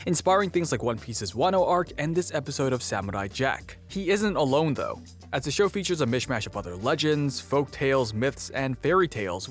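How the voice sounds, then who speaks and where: A man, a native Cantonese speaker, narrating a scripted video essay in a wry, self-deprecating way. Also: mid-range voice.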